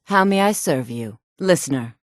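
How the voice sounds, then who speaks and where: A woman's voice: low voice, expressive, moderate pace, no room sound, clean studio sound. An actress voicing a sultry, devoted female assassin in a fantasy video game, speaking with deferential intensity. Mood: devoted, submissive.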